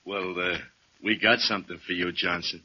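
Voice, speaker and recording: An actor playing a menacing hired gunman in a 1940s radio drama, slow and mocking, on a dull old recording. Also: deep voice, fairly even intonation, pushed and bright, breathy edge, heavily acted.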